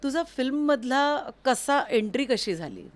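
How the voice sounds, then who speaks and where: A woman's voice: high-pitched, expressive, unhurried. Encouraging woman interviewer. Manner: encouraging, affirming. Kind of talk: conversational interview.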